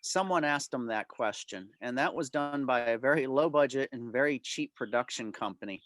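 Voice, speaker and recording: A man's voice: mid-range voice, normal speed, fairly bright tone, dry recording. A man answering club members' questions informally on a video call, explaining things in detail.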